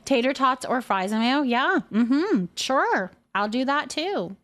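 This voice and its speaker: A woman's voice: sits high, expressive, takes it slowly, fairly bright tone. A woman chatting casually and playfully as she hosts a livestream and responds to viewers' comments. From Canada. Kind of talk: casual chat, reading and answering viewer comments.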